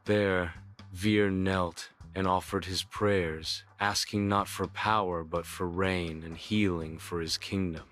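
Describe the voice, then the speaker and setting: Male narrator for bedtime tale: low voice, unhurried, solemn, formal, soft music bed. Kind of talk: scripted bedtime story narration.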